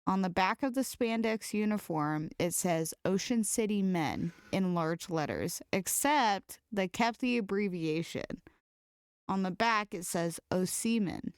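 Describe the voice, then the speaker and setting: A woman's voice: medium pitch, animated, a little slow, clean tone, dry recording, clean studio sound. A woman co-hosting a podcast, casually chatting and reading funny stories aloud. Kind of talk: casual comedic chat and story reading.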